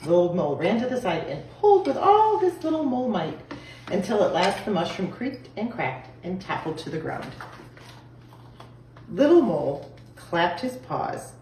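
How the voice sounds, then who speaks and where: Expressive woman reading, very lively pitch. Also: normal speed. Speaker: storybook reader. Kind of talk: expressive children's story reading. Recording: echoey room, distant mic, faint noise.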